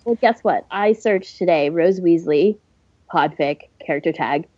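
A woman's voice: fairly low pitch, unhurried, no echo. A woman co-hosting a podcast in casual, emphatic conversation. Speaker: podcast co-host. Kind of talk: casual chat. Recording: dull lo-fi audio, dry.

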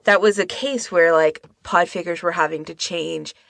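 A woman with a lowish, creaky voice talks casually as a podcast co-host. She is careful to qualify her claims, and the sound is dry and close, with no room echo. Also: moderate pace, a bit bright. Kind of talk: casual discussion.

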